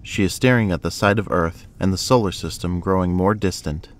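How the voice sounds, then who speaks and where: Male narrator, low register, reading scripted scenes for an audio drama. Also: brisk.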